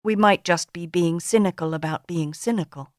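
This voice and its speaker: A woman voicing a poised, sharp-witted woman of forty in an audiobook, speaking in a dry, measured, ironic way. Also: fairly low pitch, no room sound, natural voice. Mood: wry.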